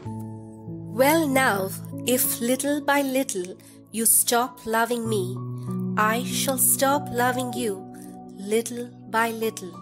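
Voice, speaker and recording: A woman's voice: a little high, slow. A woman, reading poetry aloud in a recitation style. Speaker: poem reader. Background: loud music.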